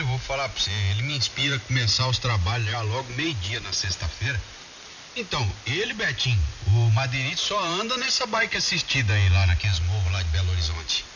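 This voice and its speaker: Brazilian male radio amateur, mid-pitched, colloquial and chatty, on a muffled shortwave link with strong hiss. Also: big pitch swings, fairly quick. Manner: colloquial, addresses friends by name. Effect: shortwave ham radio transmission. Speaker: amateur radio operator in a group contact. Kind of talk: casual chat over ham radio.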